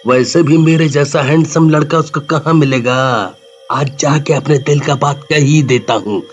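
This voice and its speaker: A man's voice: a little high, unhurried, lo-fi sound, pitched below the performer's own voice, natural voice. Man playing a cocky, lovesick cartoon goofball. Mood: dreamy, smug.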